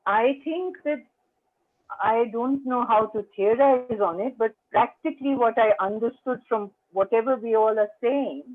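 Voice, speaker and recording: A woman, a lawyer speaking as a guest on a panel, telling her story in an earnest, measured way. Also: fairly high pitch. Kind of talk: casual panel talk. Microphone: remote call. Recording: tinny phone-line audio.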